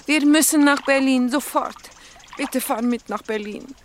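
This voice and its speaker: Earnest high-voiced young woman. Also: measured pace, slight background noise. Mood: anxious, pleading. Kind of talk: German radio play acting.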